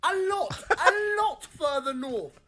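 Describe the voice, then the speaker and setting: Animated high-pitched football explainer, music behind. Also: slow pace. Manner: enthusiastic, laughs mid-sentence. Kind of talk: excited football explainer video.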